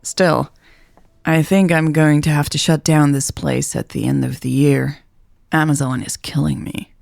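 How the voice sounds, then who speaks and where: A woman's voice: sits low, fairly even intonation, a little slow, creaky, darkish tone, no room sound, studio quality, full character voice. A woman voicing a shy, wry female bookshop owner who is easily flustered, in a romance audiobook. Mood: dry teasing.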